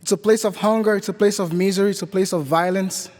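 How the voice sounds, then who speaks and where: High-voiced young man from Haiti. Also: steady tone, darkish tone. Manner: slow, deliberate, repeating phrases. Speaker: guest speaker at Mass. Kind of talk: personal testimony to a congregation. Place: church. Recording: church hall reverb.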